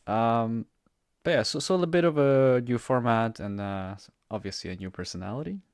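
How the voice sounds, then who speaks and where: A young man hosting a casual podcast interview, halting and restarting his words with many fillers. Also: mid-range voice, no room sound, clean studio sound. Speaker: podcast host, university student. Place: plain indoor room.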